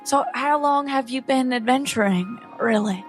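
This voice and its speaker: Woman role-playing casually over soft music. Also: high voice, normal speed. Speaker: tabletop game player. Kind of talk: casual role-play and action description.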